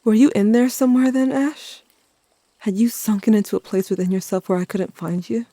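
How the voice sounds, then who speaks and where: A performer as a grieving teenager telling their story in an audio drama. The voice is mellow and mid-pitched, intimate and dramatic with wry asides. It is recorded close and dry. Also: a little fast, no echo, close to an everyday voice. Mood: uneasy, grieving. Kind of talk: first-person audio drama narration.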